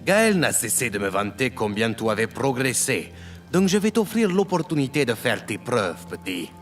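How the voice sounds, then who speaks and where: An actor playing an imposing, cold crime family boss, curt and authoritative, in an audio drama. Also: male voice, medium pitch, big pitch swings, moderate pace, close to an everyday voice. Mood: cold, commanding. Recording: music under the voice.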